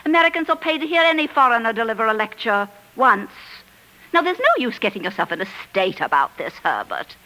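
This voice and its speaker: Sharp, high-voiced, nagging wife. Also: female voice, very animated, a little fast, rough recording. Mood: scornful. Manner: imperious, scolding, dismissive. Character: bossy, nagging middle-aged wife, brisk and scornful. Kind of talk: 1940s radio drama acting. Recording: 1940s radio broadcast.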